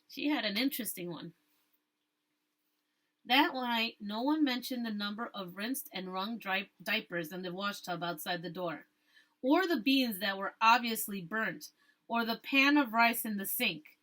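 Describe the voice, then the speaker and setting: A woman teacher reading a storybook aloud, rereading stumbled phrases and pausing to explain things to her listeners. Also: mid-range voice, fairly bright tone.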